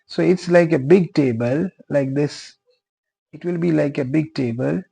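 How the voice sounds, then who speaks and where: A man's voice: on the high side, gravelly, mellow, husky. A young man whose first language is Urdu, earnestly explaining a beginner's tutorial and repeating his points, with many fillers. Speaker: teacher.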